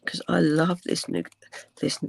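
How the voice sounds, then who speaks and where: An older woman with a croaky voice, chatting casually through a craft tutorial, rambling with many ums and dropping to a whisper while she works. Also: low-pitched, level tone, unhurried, no room sound. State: croaky from cold weather. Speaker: crafting channel host.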